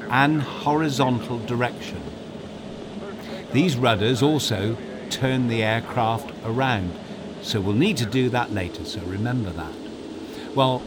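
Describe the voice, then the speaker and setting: A man reading scripted narration as a host, giving step-by-step instructions straight to the listener as if guiding them through a flight. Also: mid-range voice. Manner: instructive, addresses listener directly. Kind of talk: scripted second-person guided flight narration. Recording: steady aircraft cabin noise behind. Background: aircraft ambience and engine hum.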